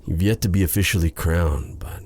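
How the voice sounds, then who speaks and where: A man playing a lovestruck, self-doubting exiled dark sorcerer in an intimate fantasy audio roleplay, breathy, halting and formal in his phrasing. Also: deep voice. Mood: wistful, gentle. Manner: flustered, stammering, halting, formal old-fashioned phrasing.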